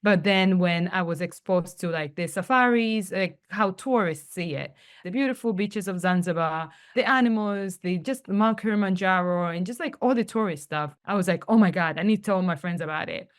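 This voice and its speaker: A young woman from Tanzania with a slightly low voice tells her story as a podcast guest. She talks casually, with many "like"s, and acts out little quotes from friends along the way. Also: moderate pace, few pauses, clear, resonant tone. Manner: chatty storytelling, many fillers and acted-out quotes. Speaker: guest, travel company founder.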